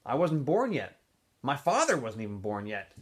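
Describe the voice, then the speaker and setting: A man's voice: mid-range voice, huge pitch swings, normal speed. A man voicing a patient father from a storybook, telling family history in a plain, matter-of-fact way.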